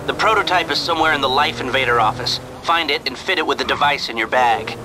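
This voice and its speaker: An actor playing a brisk, bossy accomplice giving instructions in a video game. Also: male voice, medium pitch, noisy background, lightly acted. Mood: brisk, instructing.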